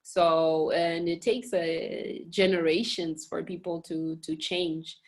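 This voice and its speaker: Woman from Mozambique, lower register, halting interview answers full of fillers. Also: little pitch movement. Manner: hesitant, many fillers and restarts. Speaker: communications director and girls education program manager at a national park.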